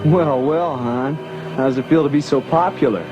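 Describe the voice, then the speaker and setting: A man's voice: a little high, normal speed, close to an everyday voice. An actor playing an eager, earnest young rebel pilot who teases his friends. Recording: dull lo-fi 1980s record with music.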